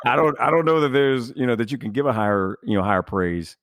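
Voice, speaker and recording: A man's voice: medium pitch, fast talker, a bit bright, no room sound. A man hosting a casual conversational podcast interview in an effusive, emphatic, rambling way. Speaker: podcast host.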